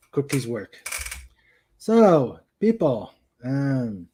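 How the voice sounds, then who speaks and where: Slow, mellow man hosting a casual chat. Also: medium pitch. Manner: hesitant, rambling, repeats phrases. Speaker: show host. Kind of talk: casual live chat, reading viewer comments. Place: at home in a room with a couch.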